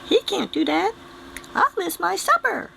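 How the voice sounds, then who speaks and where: A man voicing an eager young boy adventurer in a bright, boyish voice. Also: extremely high pitch, very animated, unhurried, creaky, slight background noise, pitched above the performer's own voice, heavily acted. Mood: surprised.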